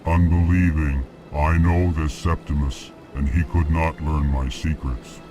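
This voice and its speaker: A man playing a ponderous alien merchant in a video game, speaking in a flat, formal, deliberate way and announcing each feeling. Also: rumbling low, music in the background. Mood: ponderous, puzzled. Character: slow, ponderous alien merchant who announces his emotions.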